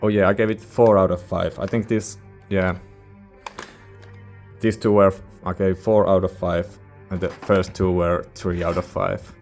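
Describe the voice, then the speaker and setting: Male reviewer, non-native English speaker: low-pitched, very even intonation, informal and halting, rambling casually. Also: faint music behind. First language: not English. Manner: hesitant, informal, searching for words. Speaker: music reviewer, channel host.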